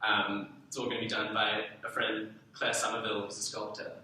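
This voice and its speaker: A young man with an Australian accent talks casually as an interview guest, meandering and a little self-deprecating. His voice is bright and forward, though it sits away from the microphone in a heavily reverberant room. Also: on the low side, monotone, fairly quick. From Darwin, Australia. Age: young adult. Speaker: artist and writer being interviewed. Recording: very echoey room, distant mic.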